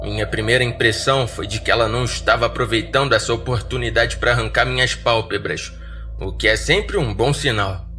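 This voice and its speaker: A man's voice: mid-range voice, fairly quick, sharp tone. Brazilian male horror narrator over music. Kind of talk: dramatic scripted first-person horror narration. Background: music bed.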